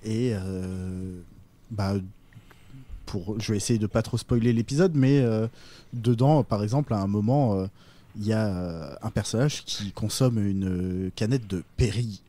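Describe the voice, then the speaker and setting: A man's voice: lowish voice, measured pace, mellow. A man on a podcast panel talking casually, hesitantly searching for his words. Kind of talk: casual discussion, review.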